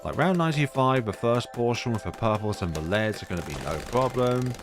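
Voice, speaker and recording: A man with a deep voice giving casual, rambling commentary on his own gameplay, full of fillers. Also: animated, fairly quick. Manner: rambling, chatty, many fillers. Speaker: gaming YouTuber. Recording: music playing behind voice.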